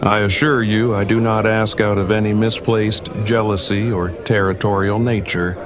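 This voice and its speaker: Man playing stiffly formal, wordy, low-voiced groom. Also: level tone, moderate pace, over music, close to an everyday voice. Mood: curious, careful. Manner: verbose, stiffly formal, precise wording. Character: Eugene, formal, wordy, earnest bridegroom survivor. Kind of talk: audio drama acting. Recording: crowd chatter behind. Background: party crowd chatter.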